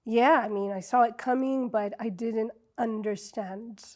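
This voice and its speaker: A middle-aged woman from Ethiopia speaks slowly in a warm, mellow voice that sits a little high. She is an interview guest in a television studio, telling her story reflectively with many ums and pauses. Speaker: guest, wellness coach.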